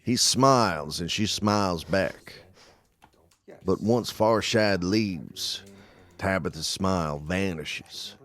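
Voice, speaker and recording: A man's voice: deep voice, slow pace, fairly bright tone. A man reading scripted narration for an audio drama as its narrator.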